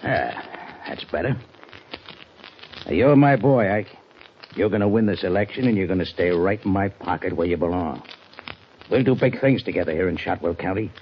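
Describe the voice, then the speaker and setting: An actor playing a scheming, domineering American small-town political boss in a radio western, terse, smug and commanding. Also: male voice, low voice, animated, normal speed, long pauses. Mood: smug, controlling. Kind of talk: old-time radio western acting. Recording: muffled, noisy vintage radio recording.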